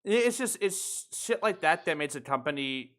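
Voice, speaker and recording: A man's voice: a little high, expressive, moderate pace, a bit bright. A man hosting a livestream chat in a casual, rambling way with swearing. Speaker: host of a podcast livestream.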